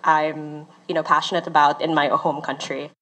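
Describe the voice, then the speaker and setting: Filipina researcher explaining, low-ish voice. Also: female voice, flat delivery, normal speed, a bit bright, rough recording. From Philippines. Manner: informative, with occasional fillers. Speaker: research associate. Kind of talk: explanatory interview talk.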